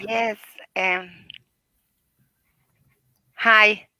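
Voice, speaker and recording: A woman's voice: medium pitch, fairly even intonation, drawn-out words, pushed and bright, dry recording. A woman from Argentina with an Argentine accent and native Spanish, introducing herself conversationally as a panel guest. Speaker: panel guest, international civil servant.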